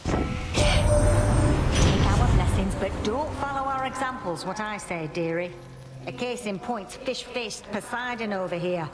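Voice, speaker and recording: A woman playing a sharp-tongued old hearth goddess in a video game, folksy, wry and teasing. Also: mid-range voice, unhurried, loud music behind the voice, close to an everyday voice.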